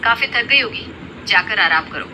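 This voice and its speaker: A woman playing a restrained, modest elder sister-in-law from a poor family in a moral story, plain-spoken and measured. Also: mid-range voice, expressive, background noise, lightly acted. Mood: curt. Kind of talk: Hindi moral story acting.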